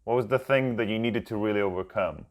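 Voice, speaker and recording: A man's voice: lowish voice, fast. A man hosting a podcast, asking interview questions eagerly and often repeating words as he starts. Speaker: podcast host.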